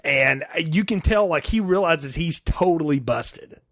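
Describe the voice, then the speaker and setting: A middle-aged man with an American accent talks casually as a film podcast co-host. His voice sits a bit high for a man, and the sound is dry, with no room echo. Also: animated, unhurried, a bit bright. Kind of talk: casual film review chat.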